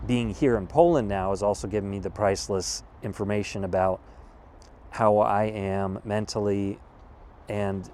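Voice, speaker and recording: An American man in his thirties, a vlogger talking casually and thoughtfully outdoors, pausing often and restarting his sentences. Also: low voice, mellow, a little hiss or hum. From California, USA. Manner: thoughtful, measured, with pauses and restarts. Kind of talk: casual reflective monologue. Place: outdoors in a wooded city spot.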